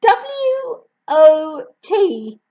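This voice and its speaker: A bold little schoolboy from a storybook, as a woman puts him on, piping up in a very high, anxious voice. He speaks slowly and carefully, and the recording sounds dull and muffled. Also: big pitch swings, dark tone, full character voice. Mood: nervous. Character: brave small schoolboy, cheeky and quick.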